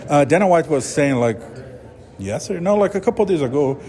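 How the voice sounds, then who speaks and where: Mellow-voiced Brazilian interviewer. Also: male voice, a little high, animated. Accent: Brazilian Portuguese. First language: Portuguese. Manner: halting, searching for English words. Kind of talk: press interview.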